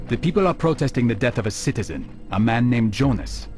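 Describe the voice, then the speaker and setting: A man playing a grave, resolute medieval assassin in a video game, speaking in a measured, formal way. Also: mid-range voice, lively intonation, a little mellow, close to an everyday voice. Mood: resolute, serious. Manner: measured, serious, formal phrasing. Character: grave, resolute young male medieval assassin, cool and philosophical. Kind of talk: video game cutscene voice acting. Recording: music behind the voice.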